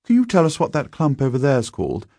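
A man's voice: mid-range voice, huge pitch swings, quick pace, dark tone, no room sound, studio quality, voice pushed up. A man voicing a well-bred British schoolboy in a storybook, courteous and full of questions. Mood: polite. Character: polite, inquisitive well-bred English schoolboy.

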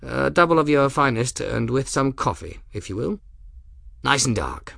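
A man voicing a bookish, distracted middle-aged gentleman in a storybook, mild, wordy and given to absent-minded muttering. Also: medium pitch, big pitch swings, normal speed, vocal fry, no echo, studio quality, pitched above the performer's own voice, exaggerated voice.

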